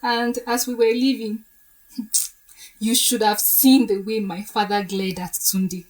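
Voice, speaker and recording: A woman's voice: medium pitch, little pitch movement, takes it slowly, a little mellow, close to an everyday voice, rough recording. An actress playing a bride-to-be gossiping with friends in an audio drama, telling her story chattily with little interjections. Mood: amused gossip.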